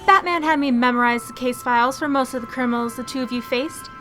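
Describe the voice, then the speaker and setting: Woman as a high, bright young heroine. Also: fast, faint music behind. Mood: focused. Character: capable, confident young female masked crime-fighter. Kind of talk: radio drama acting and scripted narration.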